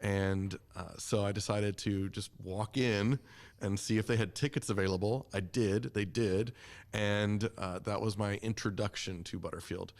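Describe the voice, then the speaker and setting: Male podcast guest, low-pitched voice, relaxed interview-style conversation. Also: measured pace. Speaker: community theater member, podcast guest.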